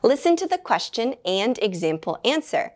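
A young woman teaching beginner English grammar in a small studio, speaking slowly and enunciating clearly into a lapel mic. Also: fairly high pitch, very animated, darkish tone. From United States. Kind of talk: scripted beginner grammar lesson.

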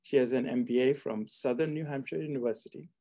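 A man's voice: mid-range voice, quick pace, mellow. A middle-aged man hosting a formal online event from an office, introducing and interviewing a guest over a video call. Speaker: host, hospitality school dean. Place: book-lined office indoors. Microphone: video call, seated at computer.

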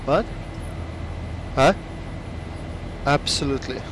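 A man with a Swedish accent talking casually and haltingly while flying a flight simulator at home. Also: medium pitch, background noise. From Sweden. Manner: halting, thinking aloud with restarts. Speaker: flight sim hobbyist. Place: home room with simulator cockpit.

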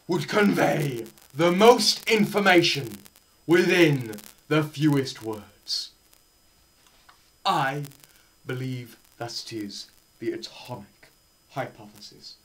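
A young man giving a dramatised science lecture, theatrical, swinging between shouts and hushed murmurs, with pauses and repetition for effect. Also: very high voice, poor audio. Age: young adult. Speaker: presenter. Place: small bare indoor room.

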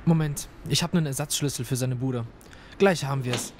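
A man's voice: medium pitch, normal speed, noisy background, natural voice. A man acting a flippant young student in an audio drama, talking in a wry, colloquial way. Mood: puzzled. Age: young adult. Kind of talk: naturalistic audio drama dialogue.

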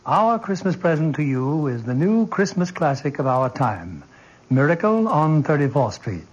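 A man's voice: a little high, very animated, normal speed. A man hosting and narrating in a formal, polished, scripted 1940s radio style. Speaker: host and narrator. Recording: muffled old radio broadcast.

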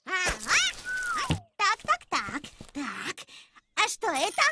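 An actor voicing a small, playful, childlike cartoon animal with a drawn-out, emphatic delivery. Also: high voice, sing-song, very noisy, full character voice. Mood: cheeky. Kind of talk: children's musical cartoon dialogue.

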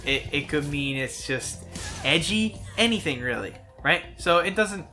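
A man's voice: fairly high pitch, animated, measured pace, sharp tone. A man casually bantering as co-host of a gameplay video, blunt and often swearing. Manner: profane, blunt. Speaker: let's play co-host. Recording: music under the voice. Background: game music.

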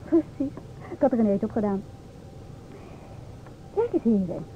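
A sharp-tongued, assertive woman in a vintage radio drama. Her voice sits fairly high and her delivery is mocking and theatrical. The old recording is thin, with faint noise. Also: big pitch swings, a little slow. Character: outspoken, sharp-tongued divorced woman lecturing two inspectors. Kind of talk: Dutch radio play acting. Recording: old radio, thin phone-like sound, noisy.

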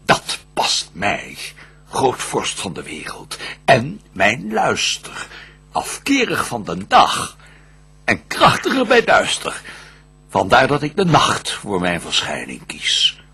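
A vengeful fallen prince of hell, declaims verse in a radio play. The delivery is slow and grandiose, with a gravelly grain and sweeping intonation. He sounds proud, brooding and full of schemes. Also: mid-range voice, huge pitch swings, bright, forceful tone, full character voice. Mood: grand, defiant. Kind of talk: theatrical verse radio drama.

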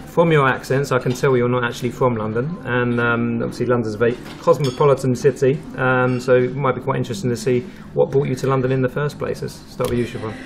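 A man hosting a radio property show, chatting casually with guests and often pausing on fillers and restarts. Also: medium pitch, fairly even intonation, gravelly. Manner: hesitant, many fillers and restarts.

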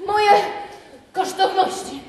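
A woman's voice: takes it slowly, far from the mic, close to an everyday voice. A woman playing a timid, treasure-loving sailor, pleading comically in an improvised audio play for children. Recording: roomy theatre from afar, music behind.

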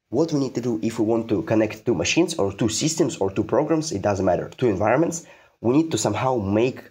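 A man's voice: fairly low pitch, a little mellow, husky. A man giving an informal programming tutorial, repeating phrases for emphasis and posing questions that he answers himself.

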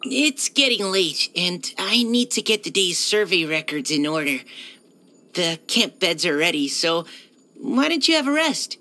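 A woman's voice: fairly low pitch, big pitch swings, measured pace, full character voice. A woman voicing a polite, earnest young male military surveyor in a video game, with a hesitant, helpful manner. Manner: hesitant, soft-spoken politeness, eager to help. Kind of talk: video game character voice-over.

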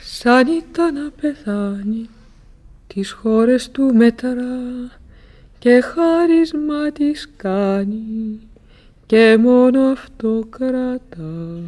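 Woman as a high-voiced maiden singing wistfully. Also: warm tone, natural voice. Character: young maiden singing a wistful old ballad. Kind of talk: sung ballad in radio drama.

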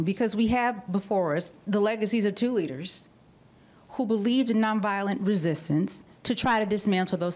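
Poised woman moderator, handheld mic. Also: medium pitch. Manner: poised, steering the discussion. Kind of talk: moderating a panel. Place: studio stage.

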